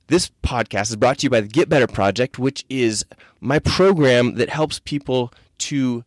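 A male podcast host with an informal, buddy-like way of talking. His voice sits at an ordinary male pitch, and the sound is dry, with very little room around it. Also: no room sound. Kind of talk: podcast intro and casual interview.

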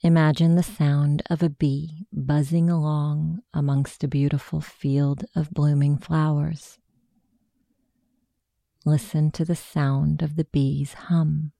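A woman narrating a guided meditation, giving soft, deliberate, soothing instructions into a close microphone. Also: sits low, flat delivery, gravelly, no room sound.

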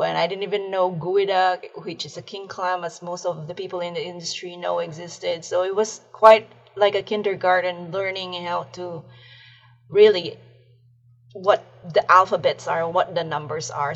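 A woman's voice: lowish voice, husky, highs cut off. A woman from the Philippines with a Filipino English accent, a guest on a podcast, speaking earnestly in a casual interview.

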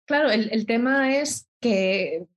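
A woman's voice: a little high, animated, slow pace, a little hiss or hum. Woman explaining thoughtfully. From Spain. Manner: thoughtful, explanatory, frequent restarts. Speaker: intercultural educator. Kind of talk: reflective interview talk.

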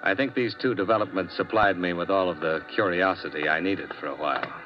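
A lowish, clipped voice of a hard-boiled investigator, as an actor plays him, dry and probing as he narrates and questions people. The sound is muffled and dull, from an old radio broadcast. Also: brisk, over music, close to an everyday voice. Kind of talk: 1950s radio drama narration and acting.

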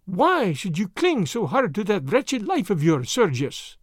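A man's voice: high voice, wildly swooping pitch, unhurried, dry recording, studio quality, exaggerated voice. A man voicing a dignified, defiant foreign woman with a foreign accent, in a storybook reading. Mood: disdainful.

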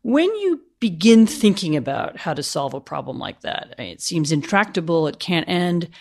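Lively, probing woman interviewer. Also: on the low side. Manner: probing, quick follow-ups. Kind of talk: interview questions.

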